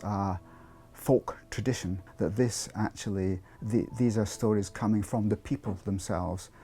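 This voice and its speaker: A man speaking as an academic expert in an interview, explaining in a measured, scholarly way with hesitant phrasing. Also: on the low side, mellow.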